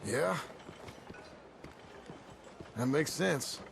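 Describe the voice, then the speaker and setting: A man playing a rough, plain-spoken Old West outlaw with an American accent and folksy, terse phrasing in a game. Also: on the high side, lively intonation, drawn-out words, background noise, exaggerated voice. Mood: sombre, reflective. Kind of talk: naturalistic game cutscene dialogue.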